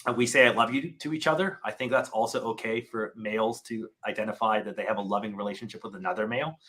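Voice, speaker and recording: A man's voice: fairly low pitch, fairly even intonation, quick pace, a bit bright. A male startup founder with an American accent, a podcast guest answering in an earnest, heartfelt way. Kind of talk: casual interview answers. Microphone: video call.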